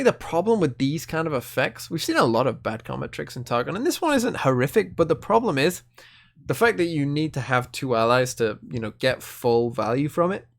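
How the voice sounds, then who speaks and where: A man's voice: medium pitch, creaky. A young man casually talking through new cards for a card game, thinking aloud with lots of hedges and fillers. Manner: chatty, hedging, thinking aloud with many fillers. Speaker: card game video host. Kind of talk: casual card review commentary.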